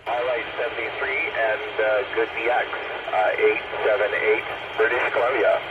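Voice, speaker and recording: A man comes through a CB radio transmission buried in loud noise and static. He talks casually but haltingly, in the clipped jargon of a radio operator making a contact. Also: on the low side, steady tone, phone-quality sound.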